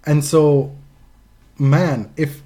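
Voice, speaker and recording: Male podcast co-host, soft-edged mellow tone, emphatic and salty-tongued, casual opinionated sports talk. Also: fairly high pitch, steady tone, drawn-out words. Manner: emphatic, frequent profanity.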